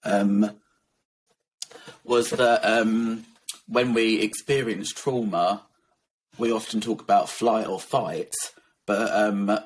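A man from Essex with a soft, gentle voice, a little croaky and tired, talking candidly and reflectively as a guest, with many ums and soft laughs. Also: on the low side, fairly even intonation, slow pace, long pauses, a little hiss or hum, rough recording. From Essex, England. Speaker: guest, children's author. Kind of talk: casual interview talk.

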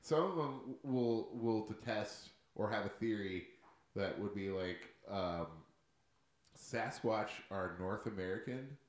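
A man's voice: fairly low pitch, takes it slowly, roomy sound. A man co-hosting a podcast in casual chat. He is laid-back, swears a lot and laughs often. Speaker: podcast co-host.

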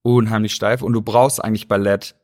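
Swabian guest, low-ish voice, rambling interview chat. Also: male voice, fairly even intonation, a little fast, a little mellow. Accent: Swabian German. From Stuttgart, Germany. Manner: easygoing, rambling, many hesitations. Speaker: interview guest, dancer and choreographer.

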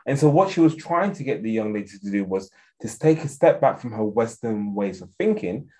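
A man's voice: fairly low pitch, very animated, fairly quick, mellow. Earnest man with an English accent. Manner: earnest, thinks aloud with frequent restarts. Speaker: guest expert on African security policy. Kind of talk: conversational interview answer.

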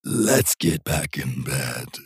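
Husky demonic dog corpse. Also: male voice, sing-song, no echo, clean studio sound, heavily acted. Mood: taunting. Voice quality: low, husky, slow and drawn out. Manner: taunting, sly. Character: demonic creature in a dead dog's body, gleeful predator. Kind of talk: audiobook horror narration.

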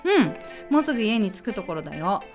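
A woman's voice: medium pitch, sing-song, fast, faint music behind, pitched below the performer's own voice, natural voice. A woman playing a sweet young woman who misses her long-distance boyfriend, talking affectionately on the phone in an amateur radio drama. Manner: soft, affectionate phone talk.

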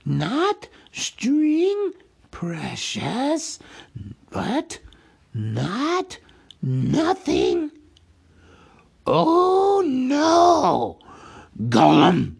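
A man voicing a slimy, scheming cave creature with a high, whiny, hissing voice and drawn-out words. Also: wildly swooping pitch, vocal fry, breathy edge, exaggerated voice. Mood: sly hissing.

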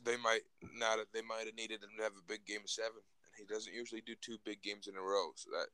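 A young man trades basketball opinions with a friend, giving short replies and defending his picks firmly. His voice is bright and forward, a little low in pitch, and the recording is dry and close. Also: level tone, fast talker, clean tone, no echo, low-quality recording. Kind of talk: casual sports chat.

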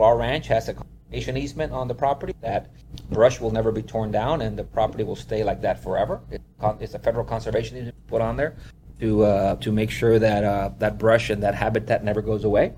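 A man's voice: lowish voice, a little mellow, slight background noise. A man from Honduras who works as a wildlife photographer, telling stories in a casual interview. He rambles, leans on "you know" and laughs often.

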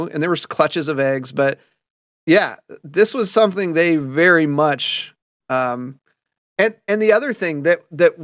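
Man, fairly high voice, game master, rambling restarts, dry close mic. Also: no room sound. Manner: rambling explanations with many restarts. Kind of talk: casual stream chat about a past campaign.

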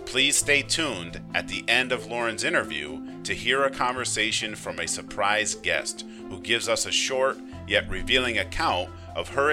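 A man in his thirties hosting a company podcast, talking in a friendly, chatty way. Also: fairly low pitch. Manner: friendly and chatty, with quick laughs. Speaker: podcast host. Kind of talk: casual interview chat.

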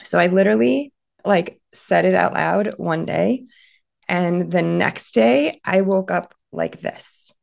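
Woman, thirties, hoarse, raspy, gravelly, lowish voice, earnest motivational podcast talk. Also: slow, a little mellow. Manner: earnest, upbeat, lots of fillers. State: hoarse from illness. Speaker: podcast host. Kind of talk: casual motivational monologue.

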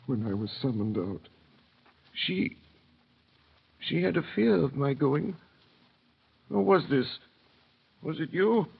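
An actor playing a bewildered, anguished old prisoner in a mid-century radio drama, halting and dazed, repeating himself. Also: male voice, medium pitch, creaky, husky, heavily acted. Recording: muffled old radio broadcast.

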